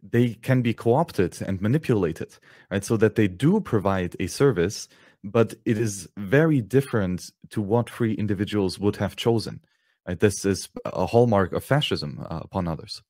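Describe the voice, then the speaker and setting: Lowish, dry-sounding male podcast host. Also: expressive, normal speed, darkish tone, dry recording. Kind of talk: interview, reflective commentary.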